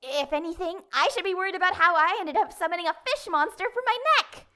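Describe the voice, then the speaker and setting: Woman playing a very high-pitched, frightened heroine. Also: fast, bright, forceful tone. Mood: exasperated. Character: frightened, sarcastic young woman hunted by demons. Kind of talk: audio drama acting. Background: faint music.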